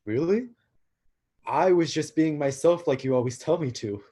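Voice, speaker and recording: A man playing an awkward, downcast young god of destruction in a staged reading, husky and hesitant. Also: a little high, expressive, moderate pace, warm tone. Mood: flustered. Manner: hesitant, self-conscious. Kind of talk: live staged play reading.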